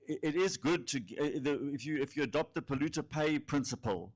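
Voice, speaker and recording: A man commenting from the floor at a conference in a deliberate, explanatory way. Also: a little high. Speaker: summit delegate asking a question from the floor.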